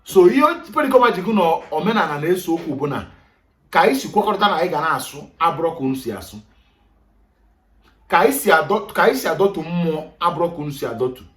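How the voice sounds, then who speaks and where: A man's voice: a little high, animated, very fast, fairly bright tone. A man with a Nigerian Igbo accent giving an emphatic, lecturing talk to a group and switching between English and Igbo. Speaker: speaker on culture. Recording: echoey room, voice from far off.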